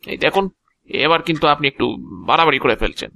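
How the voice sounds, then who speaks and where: A man's voice: fairly high pitch, quick pace, pushed and bright, no room sound. A man playing a touchy, self-important agent of Death in an audio drama, with a theatrical, indignant manner. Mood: firm, businesslike. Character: touchy, officious agent of Death, proud of his job.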